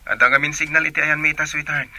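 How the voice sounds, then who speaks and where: An actor playing an affectionate young boyfriend in a radio drama. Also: male voice, on the high side, steady tone, quick pace, bright, forceful tone, rough recording.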